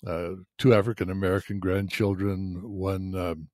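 Older man, very deep voice, halting explainer with many ums, dry studio sound. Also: level tone, a little mellow, no echo. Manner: hesitant, many filler pauses. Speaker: podcast co-host. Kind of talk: explanatory conversation.

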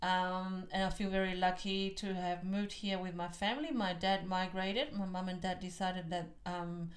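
A woman's voice: on the low side, fairly even intonation, moderate pace. A woman in her fifties from Chile with a Chilean Spanish accent, a podcast guest talking with frequent hesitant ums. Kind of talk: casual interview chat.

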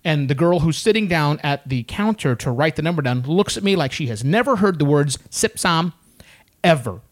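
A man recounts a story in easygoing podcast chat. His pitch swings widely as he goes, and he slips into voices for the other people in it. The tone stays loose and conversational. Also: lively intonation, moderate pace. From United States.

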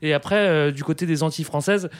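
Quick, slightly high-voiced man chatting. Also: clear, resonant tone, no room sound, clean studio sound. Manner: chatty, adds asides and short agreements. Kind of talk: casual chat.

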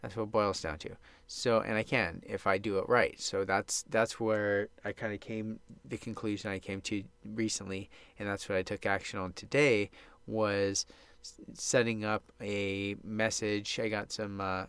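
A man with a smooth, fairly low voice that sounds a bit stuffed up in the nose. He hosts a solo podcast in an informal, upbeat, rambling style. The sound is close and dry, as in a small booth. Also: level tone, a little slow, a bit bright, no room sound. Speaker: podcast host. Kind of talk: casual solo podcast monologue.

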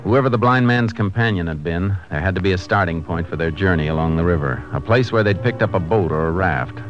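An actor playing a Texas Ranger narrating his case in a measured, matter-of-fact way for a 1950s radio drama. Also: deep voice, over loud music, close to an everyday voice. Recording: muffled, faintly noisy 1950s radio broadcast.

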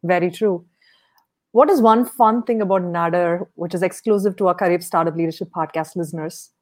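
A woman's voice: fairly low pitch, fairly quick. A woman hosting a podcast, interviewing a guest with upbeat, brief affirmations. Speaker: podcast host.

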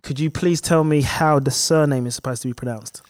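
A man's voice: medium pitch, brisk. A man hosting a podcast, interviewing casually in a polite, self-deprecating way. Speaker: podcast host.

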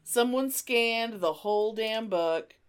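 A woman's voice: fairly high pitch, takes it slowly, over a noisy crowd. A middle-aged woman co-hosting a podcast in casual, effusive, sweary chat with a friend. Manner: effusive, sweary, gasps and exclaims. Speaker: podcast co-host.

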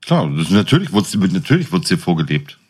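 A man in a casual conversation, explaining things in a colloquial, animated storytelling way as a podcast co-host. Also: deep voice, fast, husky. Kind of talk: casual chat, explaining and quizzing. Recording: music behind the voice. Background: music.

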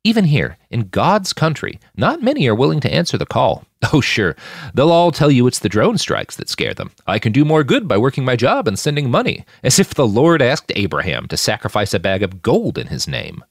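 A man's voice: mid-range voice, huge pitch swings, moderate pace, vocal fry, dry recording, studio quality, pitched above the performer's own voice, heavily acted. A man voicing a pious, bookish younger smuggler in an audiobook, haggard and formal like a preacher. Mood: earnest, scornful.

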